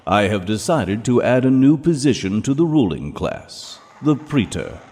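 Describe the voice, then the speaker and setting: An actor playing a stern, menacing ruler of Hell in an audio drama, speaking in a measured, commanding and pompous way. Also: male voice, mid-range voice, sing-song, a little mellow. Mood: coldly commanding. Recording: long hall reverb.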